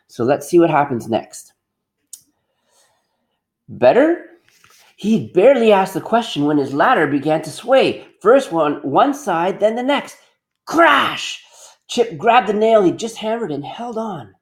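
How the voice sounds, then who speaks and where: A man reading a storybook aloud as a teacher, expressive and pausing to put questions to pupils. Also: high voice.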